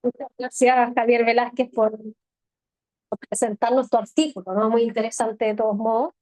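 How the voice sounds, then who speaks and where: A woman's voice: on the high side, fairly even intonation, normal speed, mellow, no room sound, poor audio. A woman moderating an academic panel, thanking one speaker and hesitantly introducing the next. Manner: hesitant, filler-heavy. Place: university event hall. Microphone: microphone at a panel table.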